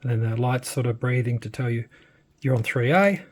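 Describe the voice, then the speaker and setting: Male hobbyist presenter, husky mid-range voice, unscripted technical walkthrough, low-key and slightly hesitant. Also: fairly quick. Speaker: presenter demonstrating a modified radio. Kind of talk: casual unscripted technical demonstration.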